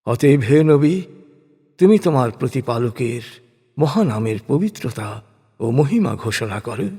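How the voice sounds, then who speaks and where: A man reading a translated scripture as solemn scripted narration, in a measured, declamatory way. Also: medium pitch, lively intonation, dark tone, breathy edge. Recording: long hall-like reverb on the voice.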